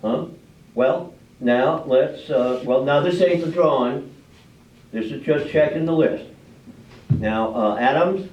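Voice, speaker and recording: A man playing a genial, folksy small-town official in an amateur staged reading, with halting homely speech. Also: medium pitch, lightly acted. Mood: folksy, hurried. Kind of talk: amateur readers theater stage acting. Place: live play in a public library room. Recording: echoey room, distant mic, dull sound.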